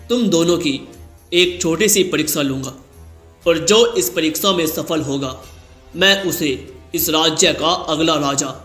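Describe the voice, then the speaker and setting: A man playing an elderly, fatherly king in a cartoon story, speaking in a measured, formal and grave manner. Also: on the high side, sharp tone, echoey room. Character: old male king, fatherly, deliberate and grave.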